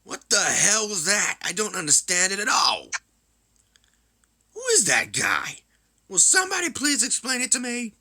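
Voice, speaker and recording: Man voicing a brash, bright-toned hot-headed soldier. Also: fairly low pitch, expressive, slow, heavily acted. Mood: indignant.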